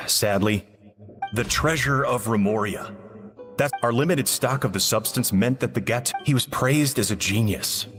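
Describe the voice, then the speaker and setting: A man voicing a melancholy, guilt-ridden former adventurer in a video game monologue, speaking in a somber, measured way. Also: medium pitch, fairly bright tone, lightly acted. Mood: wistful. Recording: long reverberant echo, music underneath.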